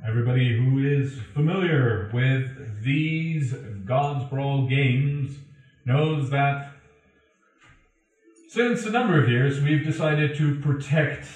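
A man voicing a stern male arena announcer, proclaiming the rules in a loud, measured way. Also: mid-range voice, pitched above the performer's own voice, lightly acted. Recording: echoey room, far from the mic.

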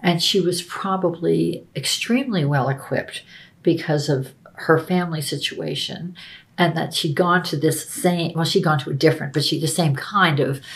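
A middle-aged woman speaks as an expert in an interview. Her voice is low and gravelly with plenty of creak, and she chooses her phrases in a deliberate, scholarly way. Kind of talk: unscripted interview commentary.

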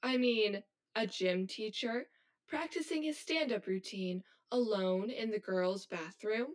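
A woman playing a cold, blunt high-achieving schoolgirl who talks in a stiff, formal, robotic way in an audio drama. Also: a little slow, natural voice. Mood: cruel, mocking. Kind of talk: scripted audio drama acting.

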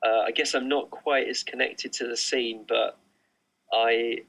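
A man's voice: medium pitch, steady tone, measured pace, fairly bright tone, rough recording. A middle-aged man, an artist, answering interview questions online in a casual, hesitant way with many fillers and restarts. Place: remote online recording.

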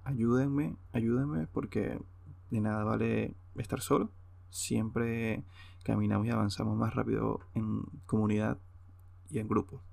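A man's voice: lowish voice, steady tone, a little slow, vocal fry, darkish tone, dry recording. A man with a Venezuelan accent talking off the cuff on a solo podcast, hesitant, informal and rambling. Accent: Venezuelan Spanish. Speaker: podcast host. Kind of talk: unscripted solo podcast monologue.

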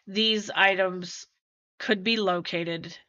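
A woman hosting a true crime podcast, explaining things conversationally in a measured way. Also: medium pitch, steady tone, bright, forceful tone, no room sound, lo-fi sound. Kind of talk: conversational explanation of forensic evidence.

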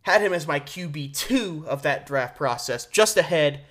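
A man in his twenties with a slightly high voice. He hosts a sports talk show alone on campus radio, speaking informally and with emphasis, dropping in casual slang. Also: lively intonation, normal speed, fairly bright tone. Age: 20s.

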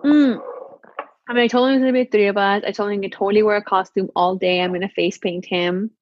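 A woman in her thirties chatting casually and telling stories in an animated way, quoting exchanges as she goes. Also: medium pitch, fast talker, no echo. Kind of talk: casual chat. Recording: slightly muffled, lo-fi audio.

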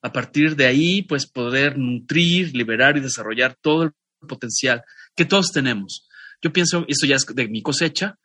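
Mexican man, podcast co-host, bright tone, dry booth sound, loose chatty delivery. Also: mid-range voice, moderate pace, no echo. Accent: Mexican Spanish. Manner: discursive, with frequent restarts and asides. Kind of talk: casual chat introducing a guest.

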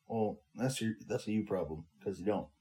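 A man's voice: on the low side, a little fast, warm tone. A man co-hosting a podcast, chatting casually and teasing his co-host with dry jokes. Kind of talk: casual chat.

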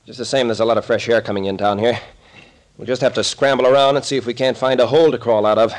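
A man's voice: medium pitch, steady tone, fast talker, close to an everyday voice. A man playing an unflappable, folksy captain and village constable in a 1940s radio drama, plain-spoken and wry. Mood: calm, reassuring.

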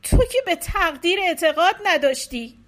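A woman's voice: very high voice, sing-song, pitched above the performer's own voice. A woman voicing a distraught young wife grieving her stillborn baby, pleading and tearful. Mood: anguished, frantic. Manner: pleading, tearful, rising to cries.

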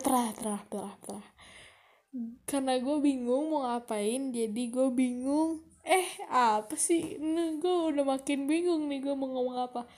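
A young woman with a high voice and a Jakarta slang accent chats through her own podcast. She speaks at an unhurried pace, drifting from thought to thought and arguing with herself as she goes. It has the loose, casual feel of a solo show. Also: a little mellow. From Bogor, Indonesia. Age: young adult. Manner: rambling, chatty, talks to herself. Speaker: podcast host.

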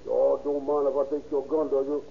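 A male actor as a domineering escaped convict in a vintage radio crime play. The voice is gravelly and a little high, slangy and commanding. The recording is muffled with some hiss. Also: level tone, a little fast, lightly acted. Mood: threatening. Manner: commanding, slangy exaggerated dialect speech. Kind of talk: 1930s radio crime drama acting.

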